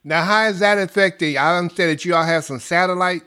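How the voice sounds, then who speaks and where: A man interviewer asking measured, formal questions. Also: high-pitched, pushed and bright, clean tone.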